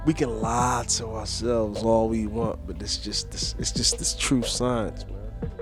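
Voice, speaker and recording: A man's voice: on the low side, steady tone, a little slow, music in the background. A man co-hosting a podcast, musing earnestly in a near-sermon way, using casual slang. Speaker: podcast co-host.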